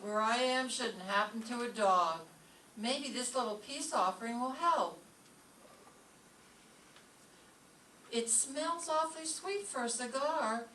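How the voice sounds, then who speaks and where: Woman acting sarcastic schoolteacher lady, old radio comedy, highish bright voice, roomy recording. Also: a little slow. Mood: wry. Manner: dry, sarcastic delivery of quips. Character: wry, sharp-tongued woman schoolteacher of English. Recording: distant roomy stage pickup, faint noise.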